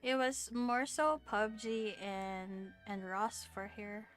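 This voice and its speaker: Young female game streamer, mid-pitched, casual, musing live chat, light music underneath. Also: slow pace, fairly bright tone. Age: young adult.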